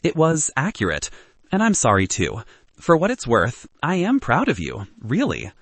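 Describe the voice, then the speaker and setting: Audiobook role: status-hungry young ex-girlfriend voiced by a man, cool and probing, breathy edge, dry recording. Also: fairly high pitch, huge pitch swings, normal speed, a bit bright, dry recording, full character voice. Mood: quiet, sincere. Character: status-conscious, materialistic young woman, the hero's ex.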